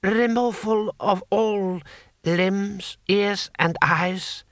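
Man voicing a measured, high-pitched scheming lord. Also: fairly bright tone, no room sound, studio quality, full character voice. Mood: cold, matter-of-fact. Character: smooth scheming male lord and grand vizier. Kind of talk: audiobook character voice.

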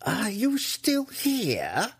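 A man voicing an imperious, scheming, cruel dark elf matriarch in a fantasy audiobook, condescending and wry, drawing out the words. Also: on the low side, huge pitch swings, husky, dry recording, full character voice. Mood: furious shriek. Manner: condescending, wry, drawn-out delivery.

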